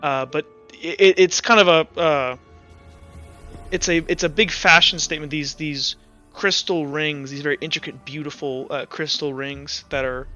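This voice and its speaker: A man runs a tabletop roleplaying game in a bright, high voice. He narrates off the cuff, conversational and halting. Faint music plays under a slightly dulled recording. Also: steady tone, lo-fi sound. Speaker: game master.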